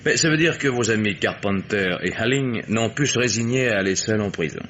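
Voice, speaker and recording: Over faint hiss, a lowish male detective voiced by an actor confronts a suspect in a vintage radio mystery. He is firm and probing, stating things squarely and insistently. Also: fairly quick, fairly bright tone, natural voice. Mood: cool, triumphant. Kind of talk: old French radio mystery acting.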